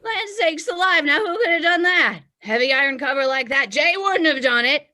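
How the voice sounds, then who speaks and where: A woman acts a crabby older mother-in-law in a radio play. Her voice is high and sharp, swooping widely as she talks. She is folksy, bossy and impatient. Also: expressive, normal speed. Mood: crabby impatience. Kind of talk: old-time radio drama acting.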